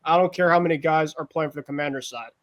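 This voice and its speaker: Slightly high-voiced man making football picks. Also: fast talker. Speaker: sports panelist. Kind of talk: casual sports prediction talk.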